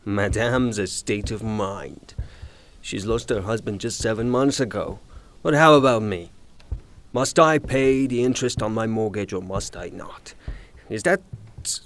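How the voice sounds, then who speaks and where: A man's voice: on the low side, slight background noise, lightly acted. A man playing an angry, blustering, woman-hating creditor, ranting theatrically in an audio drama. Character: angry blustering male creditor, hot-tempered woman-hater.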